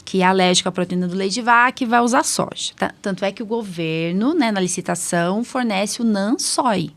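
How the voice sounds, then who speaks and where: A woman's voice: medium pitch, fairly quick. A woman with a Brazilian accent hosts a medical podcast in a conversational way. She explains things plainly and informally. She often tacks on short questions to keep her guest with her. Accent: Brazilian Portuguese. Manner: explanatory, checks in with frequent tag questions. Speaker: podcast host, allergist doctor.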